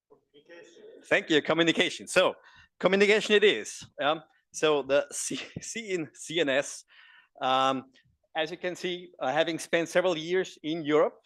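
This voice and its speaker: A man's voice: on the high side, fairly bright tone, no room sound. A man with an Austrian German accent, a native German speaker, presenting at a conference and joking with the audience. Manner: jokey, engages the audience with questions.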